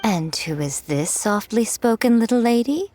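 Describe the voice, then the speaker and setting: A woman's voice: fairly high pitch, expressive, moderate pace, a little mellow, slight background noise, lightly acted. A woman playing a sly, self-assured lady editor in a video game, teasing and poised in a theatrical way. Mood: silky, intrigued. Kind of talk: scripted video game dialogue.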